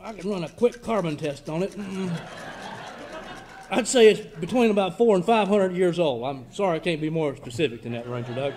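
An actor playing an earnest, nerdy, wide-eyed young cowboy in a radio serial parody. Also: male voice, sits high, brisk, darkish tone, a little hiss or hum, natural voice. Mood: earnest, helpful. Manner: wide-eyed, precise, dithering. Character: boyish, earnest, gee-whiz nerdy cowboy.

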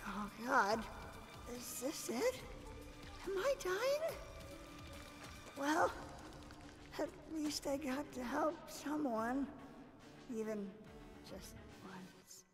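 A woman voicing a nervous, excitable teenage schoolboy who rambles, in an anime parody dub. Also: high-pitched, drawn-out words, pauses often, in a large hall, over music, full character voice. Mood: sad, resigned. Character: anxious, excitable teenage schoolboy would-be hero.

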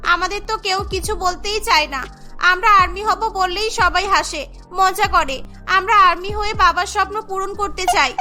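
A woman's voice: very high voice, normal speed, sharp tone. A woman voicing a poor, determined young village girl in a cartoon, speaking in an earnest, imploring way. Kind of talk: melodramatic cartoon storytelling. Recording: background music bed.

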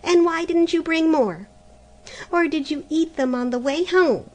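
A woman's voice: expressive, measured pace, darkish tone. A woman voicing a greedy, spiteful young woman who speaks harshly. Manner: shrill, harsh.

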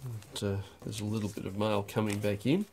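A man talking through a stamp auction lot in a dry, unhurried way, with wry asides, recorded over a desk. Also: fairly low pitch, fairly even intonation, a little mellow, slight background noise. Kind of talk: off-the-cuff lot walkthrough. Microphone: overhead camera on a desk.

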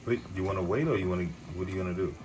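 A man, a father off camera, calmly giving cooking instructions in a home kitchen. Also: low voice, rapid-fire, background noise. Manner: calm, instructive. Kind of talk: casual coaching.

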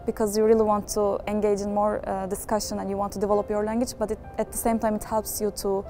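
A woman's voice: mid-range voice, level tone, fast, dark tone. A young woman from Turkey, a PhD student, speaking earnestly to camera in an office. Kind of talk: interview to camera. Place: office room. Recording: music clearly behind.

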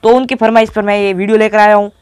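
A man's voice: high-pitched, flat delivery, fast, darkish tone, smooth, dry recording, clean studio sound. A teenage male from India giving a casual step-by-step tutorial, addressing viewers as friends and often checking they follow. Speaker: tutorial presenter.

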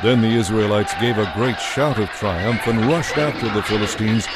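A man narrating a dramatized scripture story in a measured storytelling manner. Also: mid-range voice, noisy background.

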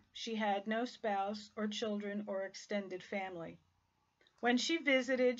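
A woman's voice: medium pitch, muffled recording. An older woman pastor giving a scripted devotional talk from a small room at home, reading steadily and earnestly through a numbered list.

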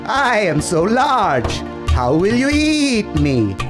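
A clever, calm nanny goat from a kids' cartoon fable, voiced by a woman. She coaxes slowly with lively swings in pitch, over clear background music. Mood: calmly coaxing. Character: clever female goat calmly tricking a wolf. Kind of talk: children's animated fable. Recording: loud music under voice.

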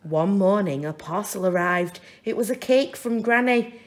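A woman reading a children's story aloud in an expressive, deliberate way, with careful phrasing. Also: medium pitch. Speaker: storybook reader. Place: indoors, reading a picture book at a wooden table.

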